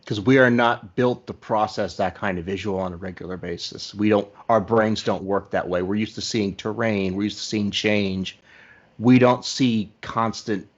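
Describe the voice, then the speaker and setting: Man, somewhat low voice, podcast host, casual conversation, slightly dull lo-fi audio. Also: steady tone, moderate pace.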